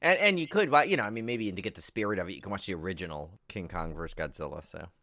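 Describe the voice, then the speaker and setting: A man's voice: low-pitched, expressive, fast, pushed and bright. An American man co-hosting a podcast in casual chat, rambling enthusiastically and often circling back on himself. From New York area, United States. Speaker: podcast co-host. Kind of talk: casual chat about horror movies.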